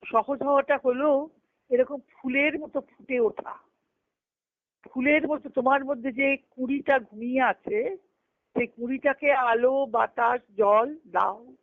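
A woman's voice: high voice, no room sound. A woman giving a devotional philosophical discourse as a spiritual teacher, posing questions and answering them, over a telephone conference call. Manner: didactic, poses questions and answers them, repeats phrases. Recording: thin phone-line conference call audio.

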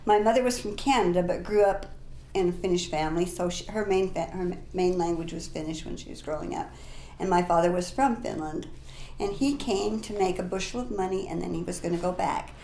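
A woman's voice: lowish voice, brisk, mellow. An older woman who grew up in a small mining town, recalling her school days in an interview with light amusement. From a small Utah mining town. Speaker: interviewee, alumna. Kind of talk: casual reminiscing. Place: room with large windows, indoors. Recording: roomy with faint hiss.

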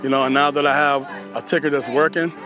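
Fast-talking American football coach. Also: male voice, medium pitch, fairly even intonation, music in the background, a crowd in the background. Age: 40s. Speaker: high school football coach. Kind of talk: casual interview talk.

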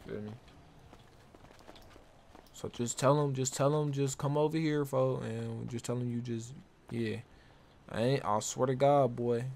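A man's voice: medium pitch, fairly even intonation, unhurried, creaky, long pauses, a little mellow, a little hiss or hum. An American man streaming improvised gang roleplay, bossing his crew around in repetitive street slang.

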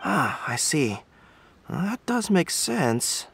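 A man's voice: fairly high pitch, very animated, takes it slowly, gravelly, fairly bright tone, a little hiss or hum, exaggerated voice. A voice actor voicing a polite, curious young man who speaks thoughtfully and earnestly, in video game voice acting.

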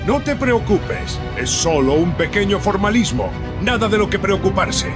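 A man's voice: high-pitched, big pitch swings, moderate pace. A man voicing a scheming ancient cartoon cave master who offers sinister bargains in a theatrical, slyly coaxing manner. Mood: falsely soothing. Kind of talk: animated cartoon acting. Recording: loud music behind the voice.

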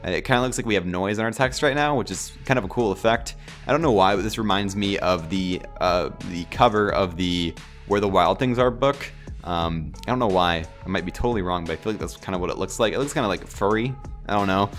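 A low-pitched man narrates a screen tutorial while sick, his voice nasal and cracking from a sore throat. He rambles in a relaxed, filler-heavy way, with faint music under him. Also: fairly quick. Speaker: tutorial host. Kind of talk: casual screen-recorded software tutorial.

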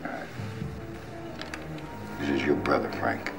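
A very deep, gravelly older mob boss, cold and controlled. He speaks slowly and with quiet menace in a film scene, with a music score running beneath the dialogue. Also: flat delivery, exaggerated voice. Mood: menacing. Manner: slow, quietly threatening, deliberate. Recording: film soundtrack with music underneath.

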